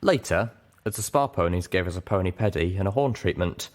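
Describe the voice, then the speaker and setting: A man's voice: low voice, expressive, a little fast. A man reading scripted first-person fiction as an audiobook narrator. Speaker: audiobook reader.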